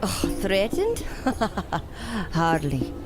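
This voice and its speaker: A woman playing a contemptuous, cryptic circus mystic in an audio drama, speaking in a deliberate, theatrical and cutting way. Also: mid-range voice, huge pitch swings, loud music behind the voice, full character voice. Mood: haughty, needling.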